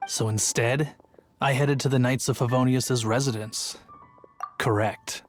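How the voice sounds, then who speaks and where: A rambling, somewhat defensive city guard tells his story in a video game, voiced by a man. His voice sits at an ordinary middle pitch, with faint game music behind. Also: fairly even intonation, unhurried, lightly acted. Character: chatty, sheepish adult male city guard.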